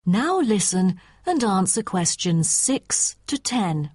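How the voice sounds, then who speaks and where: Female test announcer, formal scripted delivery, slightly rough husky tone, dry recording. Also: mid-range voice, big pitch swings, slow, a little mellow, no room sound. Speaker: exam narrator.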